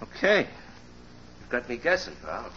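A man's voice: mid-range voice, wildly swooping pitch, measured pace, sharp tone, husky, heavily acted. A man playing a cocky, slangy private detective who wisecracks in mid-century radio drama style. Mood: defensive, puzzled. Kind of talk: 1940s-50s radio detective drama acting. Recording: muffled vintage radio recording.

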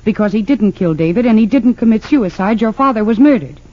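Sharp lawyer lady in old radio mystery, assertive, persistent, muffled sound. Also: fairly high pitch, lively intonation, fairly quick. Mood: accusing. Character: determined, quick-thinking woman defense lawyer. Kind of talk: 1940s radio drama acting.

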